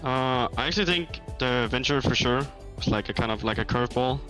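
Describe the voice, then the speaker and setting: A man with a bright, forward voice answers interview questions after a match. He speaks casually and candidly, pausing often with fillers. Faint music plays under him. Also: mid-range voice. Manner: halting, filler-heavy, candid. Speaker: pro player in post-match interview.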